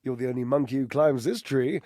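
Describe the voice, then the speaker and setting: A man playing a flamboyant, flirtatious, theatrical living appliance in a dating game, gushing with pet names and drawn-out words. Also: mid-range voice, mellow, no room sound, studio quality, lightly acted. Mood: teasing. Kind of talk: dating-game character voice acting.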